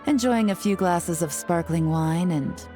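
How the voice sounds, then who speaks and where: Game role: sardonic female agent played by a woman, lowish voice, suave, measured, teasing poise, music underneath. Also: mellow, lightly acted. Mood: relaxed, teasing. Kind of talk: dramatic video game voice acting.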